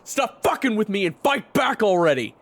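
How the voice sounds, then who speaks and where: A man's voice: fairly low pitch, fairly even intonation, very slow, bright, forceful tone, full character voice. A man voicing a proud, hot-headed young fighter bent on revenge in an audio drama, snarling and defiant. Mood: furious. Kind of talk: dramatic audio roleplay acting. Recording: echoey reverb, music behind.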